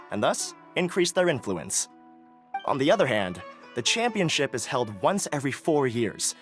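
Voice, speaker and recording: A man voicing a polite young male festival organizer in a video game, courteous and formal. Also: mid-range voice. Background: soft game music.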